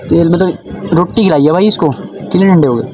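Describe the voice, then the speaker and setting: A man's voice: fairly high pitch, a little fast, warm tone. Chatty young man vlogging outdoors. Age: young adult. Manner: chatty, calls viewers brother constantly. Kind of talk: casual travel vlog. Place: outdoors on mountain roads and trails. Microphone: phone or helmet camera. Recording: wind and traffic noise outdoors.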